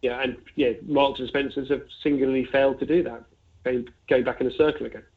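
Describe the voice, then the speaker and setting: A man's voice: mid-range voice, little pitch movement, fast talker. Man on a tinny phone-like line. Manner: discursive, thinks aloud with asides and examples. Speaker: investment fund manager being interviewed. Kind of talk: conversational market commentary.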